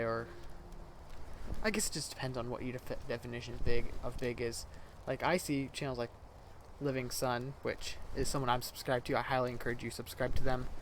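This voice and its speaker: A man's voice: mid-range voice, slight background noise. A man of twenty rambling casually to his viewers, correcting himself as he goes, with a voice he calls childish. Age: 20s. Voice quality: self-described childish-sounding voice. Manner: rambling, self-correcting, chatty. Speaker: YouTuber. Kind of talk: casual rambling vlog chat.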